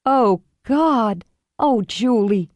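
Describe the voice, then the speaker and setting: A woman's voice: on the high side, animated, drawn-out words, darkish tone, no room sound, pitched above the performer's own voice. A woman doing a fierce, protective sister. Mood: outraged. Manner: firm, urgent, angry edge.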